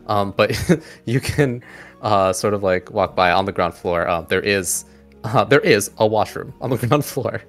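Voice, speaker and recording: A man narrating a tabletop game as game master, improvising casually and haltingly. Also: on the low side, fairly bright tone, faint music behind.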